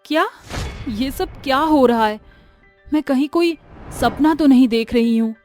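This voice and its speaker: A poor, worried village mother from a moral storybook tale, played by a woman. Her voice is high and her manner anxious and questioning, with light music behind it. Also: a little slow, darkish tone. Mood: astonished.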